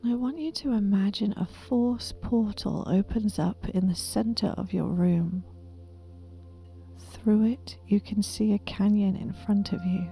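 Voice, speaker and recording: Woman doing guided meditation, super slow n gentle, whispery breathy voice, bit of creak, soft music behind. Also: mid-range voice. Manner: soothing, gentle, deliberate.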